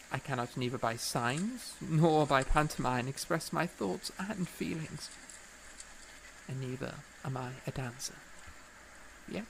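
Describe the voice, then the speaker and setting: A man plays an earnest young composer in a period audio drama. His voice is middling in pitch with a gravelly creak, and he stammers through effusive, formal speeches full of passion and self-doubt. There is audible noise behind him. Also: rough recording.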